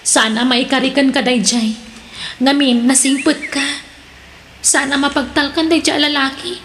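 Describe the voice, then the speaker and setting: A woman's voice: high-pitched, steady tone, normal speed, a bit bright, slight background noise. An actress with an Ilocano accent playing a caring, urging female friend in a radio drama. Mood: urging, consoling.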